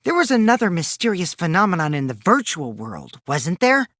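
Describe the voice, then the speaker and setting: A man's voice: high voice, huge pitch swings, normal speed, a bit bright, dry recording, studio quality, heavily acted. An actor playing a mischievous teenage boy in an anime game dub, a gleeful liar who teases and taunts theatrically, shifting between singsong mockery and sly menace. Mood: coy, mocking.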